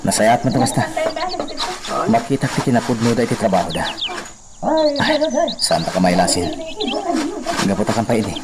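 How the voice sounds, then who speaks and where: Plain hacienda worker, loud noise. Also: male voice, fairly low pitch, sing-song, moderate pace, pauses often. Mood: grumbling. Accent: Filipino, Ilocano. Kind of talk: radio drama acting. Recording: loud animal sound effects, slightly distant.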